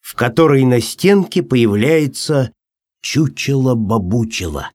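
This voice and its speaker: A narrator giving an expressive scripted reading of a children's story. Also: mid-range voice, unhurried.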